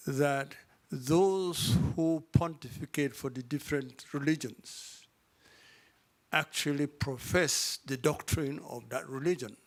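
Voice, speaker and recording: A man from Cameroon asking a long, halting question from the audience at a panel event. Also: fairly high pitch. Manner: halting, with many pauses and fillers.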